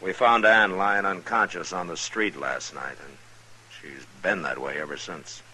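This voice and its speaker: An American actor playing a calm, laconic frontier marshal in an old-time radio western. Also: male voice, low-pitched, a little slow, a little hiss or hum, heavily acted, poor audio. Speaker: lead actor and narrator.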